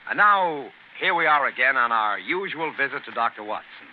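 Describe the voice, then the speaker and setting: A man's voice: medium pitch, expressive, normal speed, phone-quality sound. A man with an American accent delivering scripted announcements in the formal style of a 1930s radio announcer. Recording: noisy, band-limited 1930s radio broadcast.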